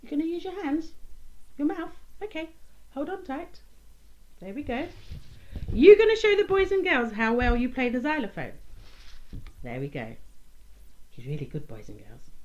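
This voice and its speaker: A woman's voice: sits high, sing-song, normal speed, long pauses, a little mellow, lo-fi sound. A woman presenting a children's puppet show, coaxing in a sing-song way with simple phrases and questions. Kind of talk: children's entertainer talk to a puppet and young audience.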